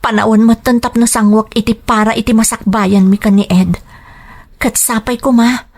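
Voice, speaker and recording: An actress plays a young working daughter, dutiful yet determined, in a radio drama. Her voice is fairly high and carries an Ilocano Filipino accent. She speaks in an earnest, pleading way. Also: fairly even intonation, normal speed, darkish tone. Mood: hopeful.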